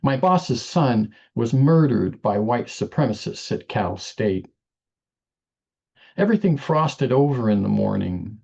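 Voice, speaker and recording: A man reading his poetry at an online open mic over a video call, chatting casually with many fillers before a deliberate reading. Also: mid-range voice, lively intonation, creaky, dark tone, husky. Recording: dull lo-fi video call audio.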